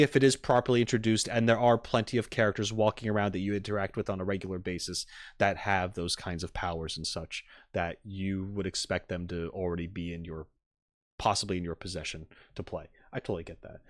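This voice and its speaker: A man's voice: lowish voice, a little fast, dry recording, clean studio sound. A man streaming casually to viewers, rambling and thinking aloud as he gives tentative opinions. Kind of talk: casual live-stream chat, reacting and giving opinions.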